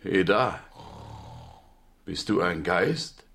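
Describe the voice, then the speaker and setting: Very deep-voiced, grumpy king. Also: expressive, very slow, creaky, fairly bright tone, heavily acted. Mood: suspicious, uneasy. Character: grumpy, threatening king of a faraway land. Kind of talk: German children's radio play acting.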